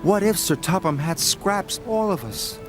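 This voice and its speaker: A man voicing a proud, eager young male steam engine in a storybook reading, with soft music behind. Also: sits high, lively intonation, measured pace, voice pushed up. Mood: worried. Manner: earnest, keen.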